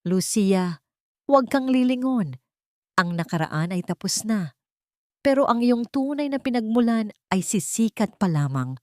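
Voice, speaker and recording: A woman's voice: on the high side, animated, a little slow, warm tone, no room sound, voice pushed up. A mysterious man with a cryptic, warning tone, played by a woman narrator. It is audiobook drama, recorded dry and close. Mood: ominous.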